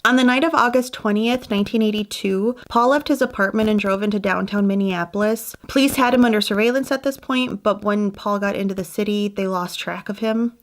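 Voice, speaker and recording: Lady doing true crime narration, matter-of-fact, mid pitch. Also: a little fast, few pauses. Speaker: true crime channel host.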